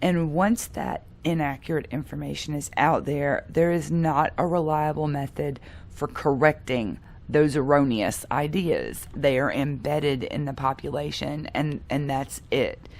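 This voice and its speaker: A woman in her thirties or forties lectures in a deep voice with a gravelly edge. She sounds stuffy from a cold, and her explanation runs with the odd um and a restarted phrase. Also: darkish tone. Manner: explanatory, with filler ums and restarts. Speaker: psychology professor. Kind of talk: recorded lecture.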